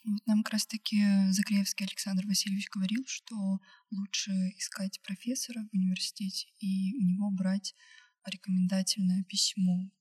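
A young woman co-hosting a casual podcast, talking in an even, matter-of-fact way. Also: mid-range voice, normal speed, husky.

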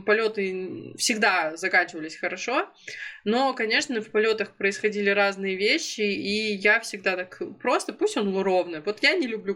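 A woman's voice: medium pitch, bright, forceful tone. A young woman in her twenties from Russia, telling a personal travel story in a casual vlog, chatty with frequent fillers and self-corrections. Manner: chatty, many fillers and self-corrections, laughs now and then. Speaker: storytelling vlogger.

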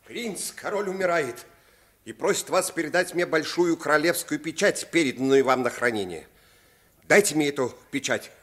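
An actor playing a stately, insistent lord at court in a radio play. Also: male voice, fairly high pitch, lively intonation, moderate pace, a bit bright. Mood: solemn, insistent. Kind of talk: Russian radio play acting.

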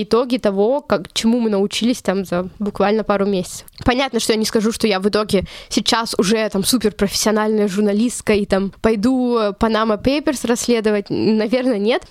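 A woman's voice: a little high, no room sound, clean studio sound. A young woman from Russia, a journalism student, telling her story as an interview guest in a chatty way, full of fillers and restarts. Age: young adult. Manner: chatty, lots of fillers and self-corrections.

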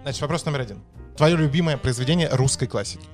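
A man hosting a podcast, upbeat and brisk as he leads the conversation and signs off. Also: mid-range voice, soft background music. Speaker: podcast host. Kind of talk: casual podcast chat.

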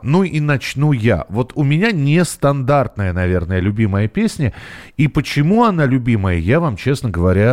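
A man's voice: on the low side, a little mellow. A middle-aged man hosting a live radio show, chatting casually and directly to listeners in a rambling, hesitant way, often correcting himself. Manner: conversational, addresses listeners directly. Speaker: radio host.

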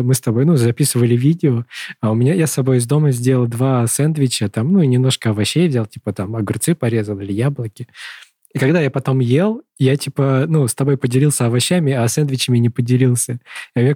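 A man of thirty hosts a casual podcast in a husky, breathy voice. He rambles through stories and keeps correcting himself. The sound is dry and close. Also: mid-range voice, fast, dark tone, no room sound. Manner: rambling, self-correcting storyteller. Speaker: podcast host.

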